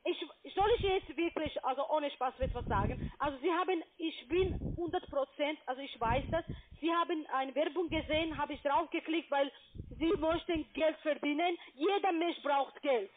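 High-pitched pushy woman on a phone line. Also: a little hiss or hum. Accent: foreign. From Saudi Arabia. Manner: insistent, pushy, halting non-native German. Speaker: call centre agent. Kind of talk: argumentative phone conversation. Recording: tinny, narrow phone line.

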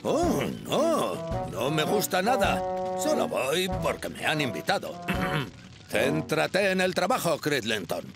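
A stern, self-important cartoon fire chief. His voice is fairly high for a man and gravelly, with theatrical swings in intonation. He sounds pompous and commanding. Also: huge pitch swings, loud music behind the voice, full character voice. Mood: flustered. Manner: pompous, throat-clearing, drawn-out words. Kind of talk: children's cartoon dubbing.

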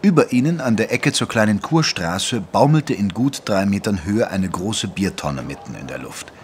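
A man narrating a period mystery audio drama in a measured, literary manner. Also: lowish voice, expressive, a little hiss or hum, close to an everyday voice. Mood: measured narration. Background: music under the voice.

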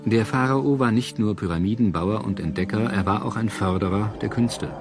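A man narrating a scripted history documentary in a measured, dramatic storytelling manner. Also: fairly low pitch. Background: prominent music.

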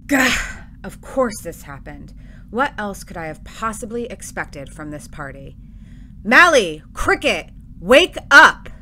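Exasperated, bright-voiced female android played by a woman. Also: medium pitch, sing-song, slow, a little hiss or hum, heavily acted. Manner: exasperated, complaining, emphatic. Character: exasperated, frazzled female android party organiser. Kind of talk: audio drama acting.

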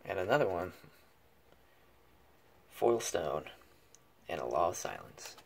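A man's voice: deep voice, sing-song, slow pace, gravelly, slight background noise. A man giving casual unboxing commentary in short, muttered, fragmentary remarks. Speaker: card pack opening host. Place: indoors at a table covered with cards.

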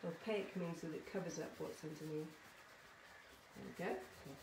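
A woman's voice: low-pitched, normal speed. A middle-aged woman giving a casual art demonstration for children, chatty and encouraging, often checking in with her listeners. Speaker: artist leading a children's art workshop. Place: small conservatory-style home studio. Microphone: camera or phone a short distance away. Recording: roomy, distant mic, some background noise.

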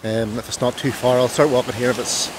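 A man's voice: medium pitch, fairly even intonation, brisk, background noise. A man vlogging outdoors on a beach, chatty and self-mocking, grumbling a little when plans fail. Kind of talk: casual vlog narration. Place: outdoors on a beach and by roadside caves.

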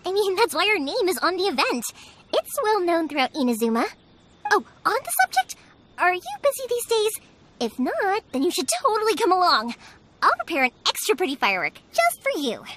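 A woman voicing a cheerful, spirited young fireworks maker in a video game, chatty and emphatic, with warm-hearted casual delivery. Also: very high voice, very animated, brisk, slight background noise, exaggerated voice. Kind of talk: expressive video game dialogue acting.

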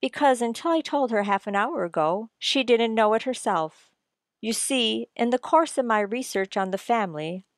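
A woman playing a shrewd writer-sleuth who explains things theatrically and deliberately in an old-time radio mystery. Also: medium pitch, no room sound, clean studio sound, close to an everyday voice. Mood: knowing, suave.

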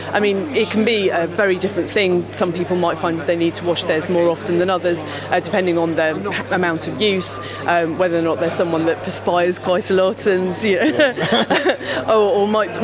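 A woman in her thirties with a lowish voice explains gear at a trade show stand, speaking into a handheld mic. She talks with frequent ums and restarts. A steady crowd murmur fills the hall behind her. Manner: explanatory, many fillers and restarts. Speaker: company representative. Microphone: handheld mic held by interviewer.

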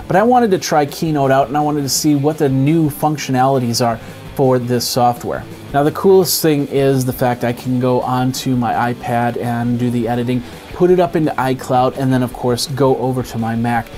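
A man in his forties presents a software walkthrough in an informal, conversational style. His voice sits at a medium pitch. He explains each step casually, recorded on a lapel mic indoors with faint music. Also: normal speed. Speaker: host of an app review web show. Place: small indoor home studio.

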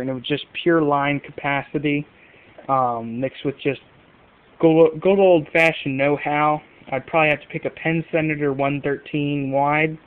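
A man's voice: mid-range voice, clean tone. A man hosting a homemade fishing gear video, talking casually and off the cuff, comparing products. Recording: muffled phone-like amateur camera audio.

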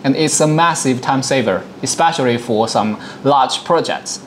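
A man in his thirties presenting a software demonstration, explaining things step by step in a dialogue. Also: medium pitch, moderate pace, a little hiss or hum. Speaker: product presenter.

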